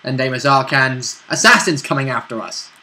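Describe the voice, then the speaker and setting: A man's voice: mid-range voice, normal speed, sharp tone. A man who plays in a tabletop campaign, talking it over in casual chat. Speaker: player in the roleplay campaign.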